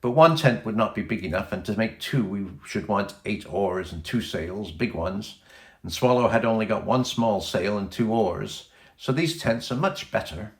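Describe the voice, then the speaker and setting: A man's voice: fairly low pitch, lively intonation, moderate pace, reverberant. A man reading the part of an earnest, practical boy captain in a children's storybook. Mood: earnest, reasoning.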